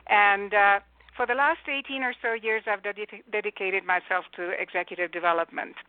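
A woman's voice: mid-range voice, steady tone, fairly quick, no echo. A woman in her sixties with a Romanian accent, a guest executive coach answering interview questions articulately with many fillers and restarts. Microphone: telephone. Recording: tinny phone line.

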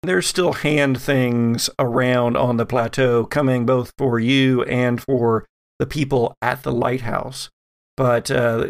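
Slow, gravelly man narrating horror. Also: medium pitch. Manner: dramatic, halting phrasing. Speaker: game master. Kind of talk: narrating a tabletop horror game.

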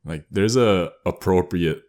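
Deep voiced young dude, slangy casual chat, mumbly with lots of likes. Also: male voice, a little slow, darkish tone.

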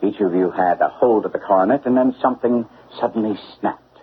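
A man's voice: lowish voice, brisk, natural voice. An actor playing a shrewd, self-assured male detective in a classic radio drama, speaking precisely and formally. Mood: assured, explaining. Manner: precise, formal, incisive delivery. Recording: phone-like old radio recording, faint noise.

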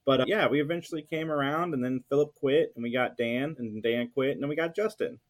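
A middle-aged man with a medium-pitched voice. He speaks as a podcast guest in casual, conversational style. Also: brisk. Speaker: podcast guest and co-host of a geek podcast. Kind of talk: casual chat.

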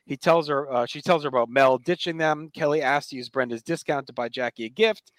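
A man's voice: fairly high pitch, brisk, dry recording. A man hosting a podcast, casually recapping a TV episode and retelling scenes with quoted dialogue. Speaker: podcast host.

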